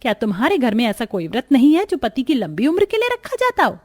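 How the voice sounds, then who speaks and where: Woman playing strict but caring mother in law from a cartoon story, highish voice, bossy instructions. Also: wildly swooping pitch, quick pace, a little mellow, exaggerated voice. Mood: stern, instructing. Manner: instructing, firm, addresses her daughters-in-law.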